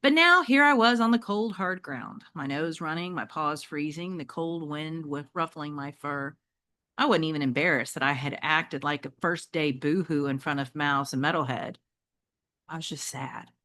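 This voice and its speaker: A woman's voice: low voice, normal speed, no echo, studio quality. A woman teacher reading a children's novel aloud expressively, speaking directly to her young listeners. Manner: expressive, addresses listeners with check-in questions.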